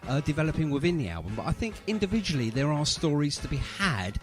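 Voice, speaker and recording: A man's voice: on the high side, big pitch swings, fast. A man hosting a radio show with casual, jokey, teasing chat about music.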